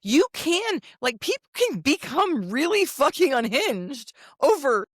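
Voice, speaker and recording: A woman in her forties with a deep voice, co-hosting a podcast in casual, blunt chat, swearing and laughing often. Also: sing-song, measured pace, no echo, clean studio sound. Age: 40s. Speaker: podcast co-host.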